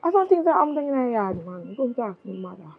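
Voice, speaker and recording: A woman's voice: very animated, fast, slightly rough, no room sound, heavily acted. Woman doing a sulky Jamaican daughter, tinny. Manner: sullen, low and grumbling. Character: sulky daughter jealous of her favored sister. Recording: dry tinny phone-like sound.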